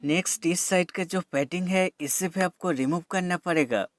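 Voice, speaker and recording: A man's voice: sits high, moderate pace, faint music behind. A man giving a scripted product demo voice-over as a reviewer, explaining plainly step by step and mixing in English terms.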